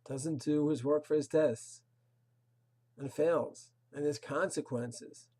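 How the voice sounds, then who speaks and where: A rabbi delivers a Torah lesson in a husky, slightly breathy voice. His tone is dark and mellow, earnest and moralizing, and he leans on rhetorical questions and Hebrew terms. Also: fairly high pitch, steady tone, slow pace. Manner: sprinkles Hebrew and Yiddish terms. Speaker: rabbi teaching a Torah class. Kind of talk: recorded religious lecture.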